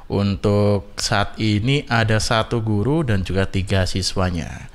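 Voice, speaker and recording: A man's voice: lowish voice, moderate pace, smooth. Male radio host. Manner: switches between Indonesian and simple English, chatty. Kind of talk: bilingual radio talk show hosting. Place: radio studio.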